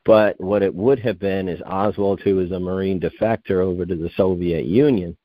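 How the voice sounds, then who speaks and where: A man's voice: low-pitched, fairly even intonation, moderate pace, dry recording, muffled recording. A man in his fifties, a guest researcher, talking in a meandering, digressive way that keeps correcting itself mid-sentence. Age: 50s. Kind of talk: rambling conversational interview.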